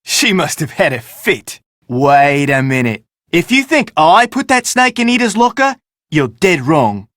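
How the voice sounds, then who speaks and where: Sarcastic, theatrical young-sounding crew member. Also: male voice, a little high, sing-song, unhurried, a bit bright, no room sound, studio quality. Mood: sarcastic, defensive. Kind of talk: video game voice acting.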